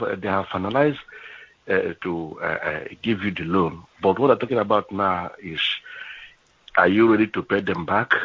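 A man on a phone call, halting and full of fillers as he explains a loan offer. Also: sits low, fairly bright tone, slightly rough. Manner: halting, many fillers and "you know". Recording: dry, dull phone-line audio.

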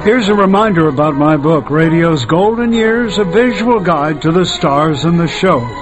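A man's voice: fairly high pitch, lively intonation, normal speed, poor audio. An older American man, a radio host, reading a scripted promotional narration. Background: music.

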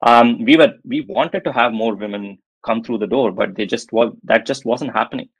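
A man's voice: mid-range voice, normal speed, gravelly, husky, no room sound. A man speaking as an interview guest on venture capital, talking in a hesitant way with many fillers and restarts. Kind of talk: conversational interview answer.

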